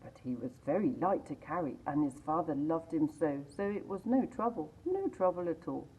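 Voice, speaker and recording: A woman voicing a young boy who speaks softly and earnestly. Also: deep voice, sing-song, normal speed, slightly rough, full character voice. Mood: sorrowful. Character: young boy, gentle and sorrowful. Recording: tinny phone-like sound.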